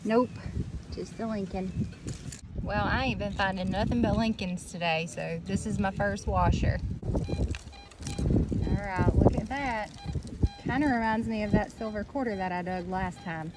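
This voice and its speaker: A woman's voice: medium pitch, measured pace, long pauses. Woman, chatty vlogger, outdoors, phone mic, heavy background noise. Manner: chatty, talks to viewers while working, coaxing finds. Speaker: metal detecting vlogger. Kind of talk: casual narration while digging. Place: outdoors in a churchyard lawn. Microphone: phone camera held or mounted on the body.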